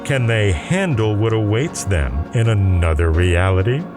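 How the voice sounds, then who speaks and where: A man's voice: lowish voice, animated, a little slow. A man delivering theatrical, portentous scripted narration as the announcer of a drama serial. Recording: music bed under the voice.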